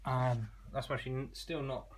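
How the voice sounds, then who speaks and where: A man's voice: mid-range voice, steady tone, moderate pace, heavy background noise. A man giving an informal product demonstration as an online seller, explaining in a conversational, slightly hesitant way.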